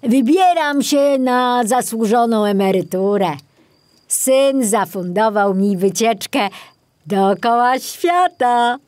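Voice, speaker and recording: A woman's voice: a little high, lively intonation, slow, darkish tone, no echo, pitched above the performer's own voice. A woman voicing a kindly, cheerful old male forester in a children's audiobook, instructive and reassuring.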